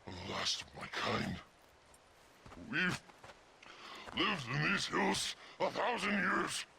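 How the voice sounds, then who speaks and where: A man voicing a grieving, weary male sasquatch in a video game, pleading and eloquent in a gravelly growl. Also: high-pitched, big pitch swings, takes it slowly, pushed and bright, slight background noise, exaggerated voice. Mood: bitter grief. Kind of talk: video game cutscene acting.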